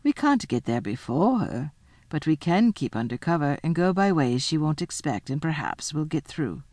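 A woman voicing a motherly, bossy female beaver in a storybook, homely, brisk and coolly practical. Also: low voice, sing-song, a little mellow, dry recording. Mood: reassuring.

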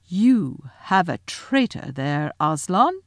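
A woman's voice: sing-song, slow, dry recording, studio quality, heavily acted. A woman voicing a cold, haughty witch queen in a fantasy story, snarling, menacing and declamatory. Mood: cold accusation. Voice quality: shrill, snarling. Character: cold imperious witch queen, savage, shrill when angered. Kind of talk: audiobook fantasy character voice.

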